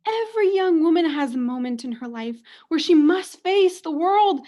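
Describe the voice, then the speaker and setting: Casting: young woman playing oblivious adoring mom, high voice, melodramatic and haughty, radio play. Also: expressive, moderate pace, mellow. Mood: proud, gushing. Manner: gushing, melodramatic. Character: doting, haughty, oblivious mother of a daughter. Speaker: student actor in radio play.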